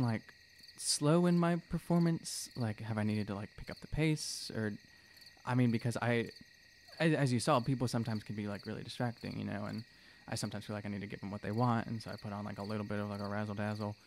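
A man playing an anxious, scatterbrained young demigod in a tabletop game, rambling and halting, with lots of filler words. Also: lowish voice, fairly even intonation, slight background noise, lightly acted. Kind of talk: improvised tabletop roleplay.

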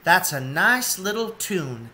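A plucky, kindly otter mom from a children's storybook, read by a man. The voice is lifted higher and bright, plainly spoken, resigned but hopeful. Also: big pitch swings, takes it slowly, exaggerated voice. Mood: gently cheerful.

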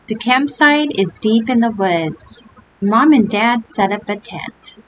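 An older woman reading a picture book aloud to young children, with gentle chatty asides. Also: on the high side, slow. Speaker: storybook reader. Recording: home recording with steady hiss.

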